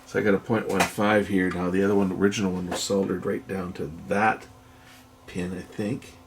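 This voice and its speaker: A man's voice: deep voice, level tone, a little fast, noisy background. A man giving unscripted, hands-on repair commentary, explaining matter-of-factly and thinking aloud as he works. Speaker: electronics repairer and video host. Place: indoors at a repair workbench.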